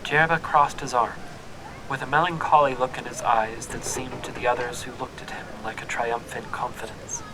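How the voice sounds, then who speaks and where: An actor playing a wry, deadpan storyteller narrating a fantasy audio drama in formal wording. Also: male voice, moderate pace, close to an everyday voice. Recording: clear background noise and rumble.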